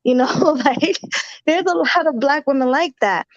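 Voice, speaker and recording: Woman host, conversational, somewhat high voice. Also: measured pace, no echo. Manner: conversational, laughs between points. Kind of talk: casual opinion chat. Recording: dull, lo-fi audio.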